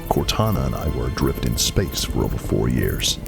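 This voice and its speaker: An actor playing a stoic veteran super-soldier, speaking in terse, deadpan lines for a science fiction audio drama. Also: male voice, a bass voice, normal speed, creaky, music in the background, full character voice. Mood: grim.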